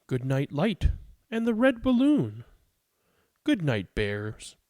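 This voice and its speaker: A man with a gravelly voice, hosting and reading bedtime picture books aloud for young children. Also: fairly high pitch, wildly swooping pitch, slow pace, darkish tone, dry recording. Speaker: host of a children's read-aloud show. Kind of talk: casual chat and bedtime picture book read-aloud.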